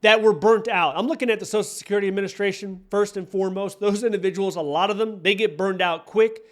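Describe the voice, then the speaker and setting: High-voiced American man giving emphatic commentary. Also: steady tone, fast. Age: 40s. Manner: emphatic, repeats key phrases for effect. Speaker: online career coach and commentator. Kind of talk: direct-to-camera opinion commentary.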